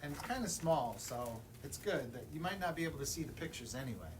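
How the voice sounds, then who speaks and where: Animated man reading a children's story, distant mic. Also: fairly high pitch, quick pace. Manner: animated, addresses young listeners with questions. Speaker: librarian story time reader. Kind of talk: expressive children's picture book read-aloud. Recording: distant mic, room noise.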